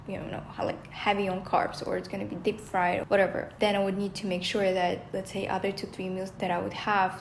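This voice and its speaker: A woman's voice: mid-range voice, slight background noise. A young woman from Russia, a native Russian speaker, chatting casually to camera about food, often saying "like" and "you know". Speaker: vlogger, former chef.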